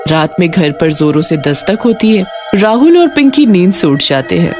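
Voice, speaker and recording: A woman's voice: on the low side, very animated, moderate pace. A woman narrating a scripted cartoon horror story as its storyteller. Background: prominent background music.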